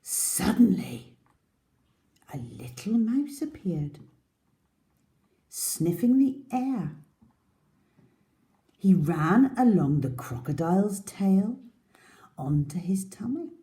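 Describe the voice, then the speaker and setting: A woman's voice: medium pitch, very animated, slow, pauses often, dark tone. A woman reading a picture book to young children expressively and dramatically, often dropping toward a whisper. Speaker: children's storyteller.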